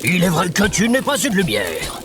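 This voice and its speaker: A man's voice: high-pitched, huge pitch swings, normal speed, noisy background. A man playing a lofty, sententious, teasing companion in a comedic audio drama.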